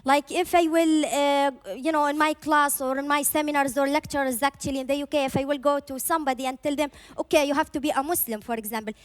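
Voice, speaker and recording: Earnest high-voiced woman from Iran. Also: steady tone, fast, hardly any pauses. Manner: earnest, many "uh" and "you know" fillers. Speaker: panel guest. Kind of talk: panel discussion.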